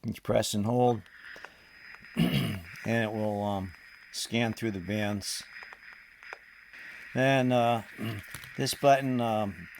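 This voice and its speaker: A man giving an informal hands-on demonstration as a radio hobbyist, speaking haltingly and often hesitating. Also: lowish voice, fairly even intonation, a little hiss or hum, poor audio. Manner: halting, hesitant, throat-clearing.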